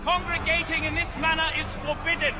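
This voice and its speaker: An actor playing a stern, authoritative colonial military officer in a video game, giving formal orders. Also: male voice, extremely high pitch, level tone, brisk, crowd noise. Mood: commanding. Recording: music behind.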